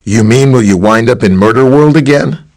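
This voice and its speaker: A man's voice: medium pitch, moderate pace, a little mellow, close to an everyday voice. A man playing a friendly, easygoing superhero scientist in a video game, explaining things in a casual, conversational way. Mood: explanatory. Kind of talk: video game character dialogue, briefing and greeting.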